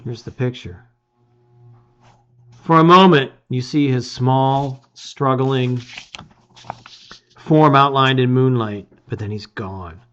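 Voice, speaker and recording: Bright-voiced man reading a story aloud. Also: medium pitch, slow, pauses often, dull audio. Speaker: host reading a gamebook aloud. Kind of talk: dramatic storybook narration with casual asides.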